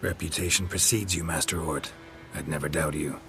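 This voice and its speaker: A man playing a stoic, world-weary middle-aged monster hunter in a video game, terse and deadpan, with a gravelly growl. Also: very deep voice, normal speed. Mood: dry, composed. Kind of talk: video game cutscene acting. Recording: game cutscene with music behind.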